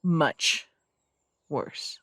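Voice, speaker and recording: A man's voice: very slow, pushed and bright, dry recording, clean studio sound. A man playing a stern male detective who runs the morgue, blunt and warning in an audio drama.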